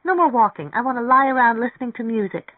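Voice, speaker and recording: A man reading a story, voicing an eager, naive young woman who is excitable and full of curiosity. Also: high-pitched, moderate pace, no room sound, narrow, tinny audio, pitched above the performer's own voice. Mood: excited, insistent. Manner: excitable, inquisitive.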